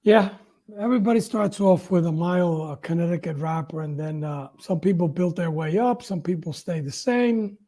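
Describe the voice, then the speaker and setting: A man hosts a relaxed, conversational interview on a video call. His voice sits quite high for a man, with a dark, mellow tone. He chats casually and puts questions to his guest. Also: normal speed. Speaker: interview host.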